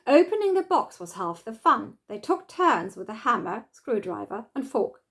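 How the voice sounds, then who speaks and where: A woman reading a children's story aloud as expressive scripted narration. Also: on the high side, moderate pace. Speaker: storybook reader.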